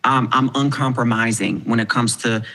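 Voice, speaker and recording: Man, twenties, bright voice, animated, outspoken, casual podcast guest. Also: mid-range voice, normal speed. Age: 20s. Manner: animated, frank, swears freely, says "y'all".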